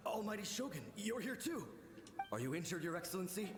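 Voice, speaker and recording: An actor playing a loyal, resolute samurai soldier in a video game, speaking formally and earnestly. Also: male voice, high-pitched, sing-song, fairly quick, very noisy. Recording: echoey, game music behind.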